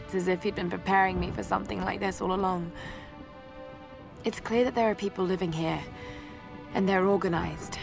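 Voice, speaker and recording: An actress with a British accent playing a young shipwrecked archaeologist, anxious but determined, in a hesitant, introspective video game journal. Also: female voice, medium pitch, steady tone, moderate pace, creaky, pauses often, music in the background, natural voice. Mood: anxious, shaken.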